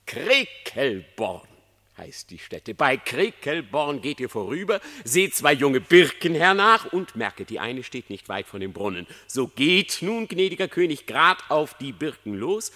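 Sly, bright-voiced theatrical fox. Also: male voice, medium pitch, big pitch swings, measured pace, full character voice. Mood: fawning, urgent. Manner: theatrical, persuasive, declamatory. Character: sly, eloquent, ingratiating male fox on trial. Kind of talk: German radio play verse acting. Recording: reverberant studio sound.

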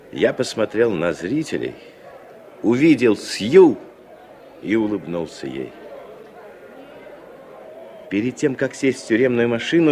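An actor playing a steadfast imprisoned man who tells his own story in lyrical, measured radio-drama narration. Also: medium pitch, a little mellow. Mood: reflective. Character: steadfast imprisoned hero Ben, recounting his arrest. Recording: 1960s radio recording, strings behind.

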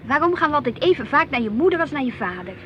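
A woman's voice: sits high, very animated, very fast, muffled recording. An actress playing an inquisitive, earnest wife and mother in a naturalistic radio play, with a Netherlands Dutch accent. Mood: questioning. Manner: questioning, earnest. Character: inquisitive, reflective wife and mother, easily piqued at her husband. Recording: noisy outdoor street ambience with traffic.

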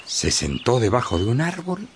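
A man narrating a radio drama in a lively scripted storytelling style. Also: mid-range voice, moderate pace, background noise.

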